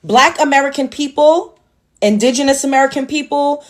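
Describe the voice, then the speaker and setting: Forceful woman delivering a fiery rant. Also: high voice, normal speed. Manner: forceful, blunt, repeats rhetorical questions. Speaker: commentator in a played clip. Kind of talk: impassioned monologue.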